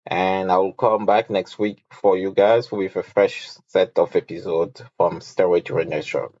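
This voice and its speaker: A man from Senegal talking through his story in an unhurried way, pausing and restarting as he goes. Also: deep voice, steady tone, darkish tone, dry recording, muffled recording. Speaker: podcast host and guest. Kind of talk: conversational interview answers.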